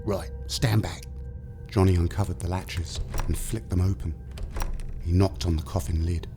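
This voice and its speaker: A man's voice: low-pitched, little pitch movement, moderate pace, music in the background. A man with a British accent narrating a scripted horror story in the first person.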